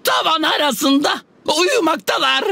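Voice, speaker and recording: A man voicing a cranky, sly old peasant woman with a shrill, scolding voice in a children's animated fairy tale. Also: unhurried, gravelly, very noisy, full character voice. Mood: anxious. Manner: scolding, exclamatory. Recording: music behind the voice.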